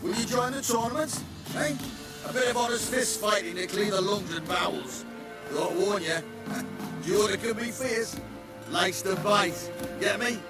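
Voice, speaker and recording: A man's voice: sits high, measured pace, music in the background. A man playing a rowdy village fist-fight promoter in a video game, with a coarse, theatrical hawking manner. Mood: brisk, needling. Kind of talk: video game character acting.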